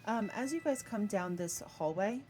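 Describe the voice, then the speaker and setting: A woman running a tabletop game as game master, narrating casually in a halting, matter-of-fact way. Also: mid-range voice, lively intonation, darkish tone, a little hiss or hum. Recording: music playing behind the voice. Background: music.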